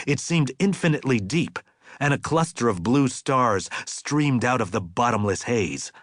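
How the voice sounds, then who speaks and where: A man reading literary fiction as an audiobook narrator, in a measured, deliberate and expressive way. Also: on the low side, no echo, studio quality.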